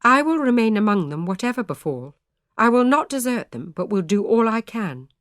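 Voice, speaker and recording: A woman voicing a mild, calm male parson who speaks in measured old-fashioned words. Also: a little high, no echo, studio quality, voice pushed up.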